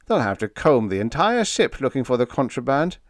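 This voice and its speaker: Male reader doing nervous, scrawny lieutenant for space audiobook, raised pitch, eager and faltering, swooping intonation. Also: a little fast, no echo, clean studio sound, lightly acted. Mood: earnest. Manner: faltering, pleading, excitable. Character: gangly, nervous, earnest young spaceship lieutenant.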